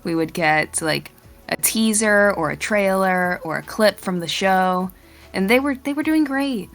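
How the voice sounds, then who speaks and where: Orta perdeli, sohbet eden kadın sunucu. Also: female voice, medium pitch, lively intonation, unhurried, faint music behind. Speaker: podcast co-host. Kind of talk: casual fan podcast discussion.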